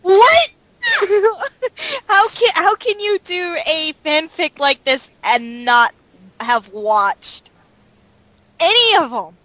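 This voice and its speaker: Lady w a bright highish voice, blunt n animated, casual interview chat, no room echo. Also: slow, pauses often. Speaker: cast member in a group interview.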